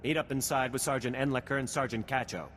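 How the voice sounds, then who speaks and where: Guy as decisive game lieutenant giving briefings, mid pitch, echoing hall, faint noise. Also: steady tone, quick pace, a bit bright, close to an everyday voice. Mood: decisive, urgent. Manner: businesslike, briefing tone. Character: practical, decisive male Republic army lieutenant. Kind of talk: video game voice acting.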